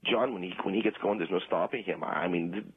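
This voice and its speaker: A man playing a meek, anxious amateur ventriloquist in deadpan radio comedy, hesitant and apologetic. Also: sits low, fast, a little hiss or hum. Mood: flustered. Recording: muffled radio sound, music behind.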